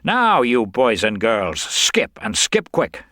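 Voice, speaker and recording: A man's voice: medium pitch, wildly swooping pitch, pushed and bright, dry recording, clean studio sound, pitched above the performer's own voice, full character voice. A man voicing a brash, slick con man who talks in slangy, pushy bursts in a storybook reading. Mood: triumphant, bossy. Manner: slangy, fast-talking, pushy.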